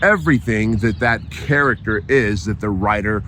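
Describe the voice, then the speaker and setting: Hoarse, low-voiced man giving a pep talk. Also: moderate pace, pushed and bright, slight background noise. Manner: emphatic, repeats phrases, frequent tag "right?". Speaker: podcast host. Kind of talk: motivational monologue.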